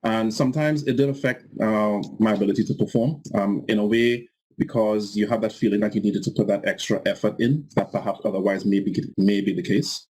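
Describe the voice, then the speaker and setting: A man speaking as a panelist, measured and a little halting, with fillers between his points. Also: on the low side, steady tone, darkish tone, husky, low-quality recording. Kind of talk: panel discussion.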